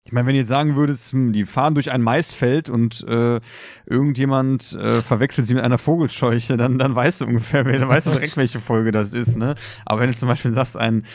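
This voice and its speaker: A man co-hosting a podcast in casual chat, talking colloquially and a bit haltingly. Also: mid-range voice, steady tone, no room sound, clean studio sound. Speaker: podcast co-host.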